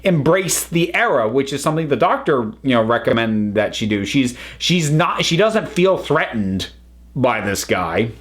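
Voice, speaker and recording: A man's voice: mid-range voice, very animated, normal speed. A man giving a casual, unscripted review, chatty and rambling as the host.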